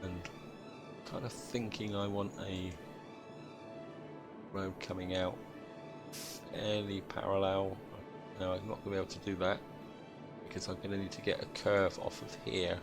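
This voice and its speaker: A British man with a croaky voice from a chest cold, chatting casually as he thinks aloud through a city-building game. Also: deep voice, flat delivery, a little slow, pauses often, music in the background. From Britain. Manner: thinks aloud, chatty, addresses viewers as guys. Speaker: gameplay commentator.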